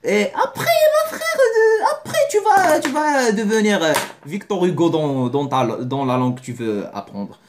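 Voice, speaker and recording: Man, lively swinging pitch, blunt and slangy, casual vlog talk. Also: measured pace, hardly any pauses, background noise. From Morocco. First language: Moroccan Arabic. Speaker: vlogger. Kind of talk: casual monologue to camera.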